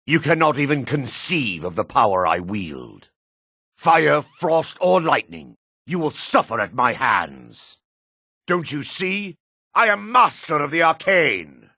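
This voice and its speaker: An actor playing a power-mad dark elf mage in a fantasy game, grandiose, theatrical and menacing. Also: male voice, on the high side, sing-song, takes it slowly, husky, dry recording, clean studio sound, exaggerated voice. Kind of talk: fantasy video game battle dialogue.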